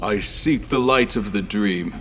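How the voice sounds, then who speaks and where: Man acting a deep, brooding haunted explorer. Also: very noisy, natural voice. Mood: resolute. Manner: brooding, deliberate, with dramatic pauses. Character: solemn, haunted male scholar-explorer on a deadly quest. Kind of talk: dramatic first-person audio fiction narration. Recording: music behind the voice.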